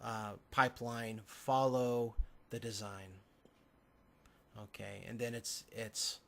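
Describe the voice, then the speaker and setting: A man lecturing from slides, explaining step by step in a halting way. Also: mid-range voice, fairly even intonation, gravelly, bright, forceful tone.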